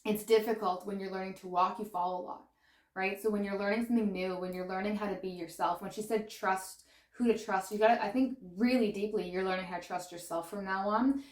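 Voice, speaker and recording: A woman gives a tarot reading with a mid-pitched voice, bubbling over with excitement and exclamations. Her voice is wearing out and sounds strained in places. The room around her gives the sound a noticeable echo. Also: fairly quick. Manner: excitable and gushing, exclaiming and laughing. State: losing her voice. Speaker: tarot reader. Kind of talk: pick-a-pile tarot reading.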